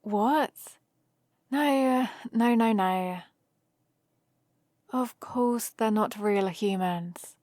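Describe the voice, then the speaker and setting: Woman acting as a nerdy young fantasy heroine, cheerful, friendly rambling, unhurried pace, close dry sound, ASMR roleplay. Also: mid-range voice, no echo. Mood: amused, soft. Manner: rambling, friendly explaining with gentle excitement. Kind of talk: ASMR roleplay addressed to the listener.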